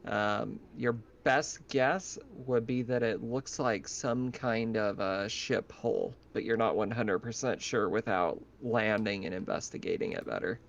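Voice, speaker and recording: A man's voice: fairly low pitch, a little slow, creaky, few pauses. A man running a tabletop game as game master, narrating casually over an online voice chat. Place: online voice call. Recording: dull, lo-fi voice chat.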